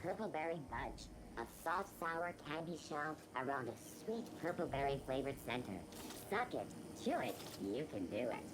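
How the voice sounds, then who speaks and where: An actress playing a candy advertisement announcer in a video game, giving a scripted, jingly product patter. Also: female voice, low voice, very animated, normal speed. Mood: promotional. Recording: distant game audio with loud noise.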